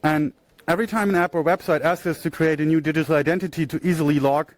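A man's voice: a little high, steady tone, fast talker, no room sound. A man from Germany giving an earnest conference talk into a handheld microphone, phrased plainly with occasional slips. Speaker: conference speaker. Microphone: handheld microphone shared with co-speaker.

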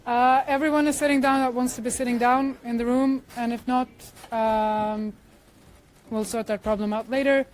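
Swedish woman giving a measured conference talk. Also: fairly high pitch, steady tone, darkish tone, slight background noise. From Sweden. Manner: measured, explanatory, with frequent "uh" fillers. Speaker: conference speaker. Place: conference room. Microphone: handheld microphone shared with co-speaker.

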